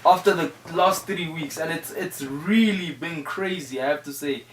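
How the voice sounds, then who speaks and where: A man with a South African accent hosting a casual interview show, informal and excitable, often saying "man" and "like". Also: on the high side, normal speed, far from the mic. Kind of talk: casual radio interview chat. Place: studio. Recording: echoey room, off-mic, background noise.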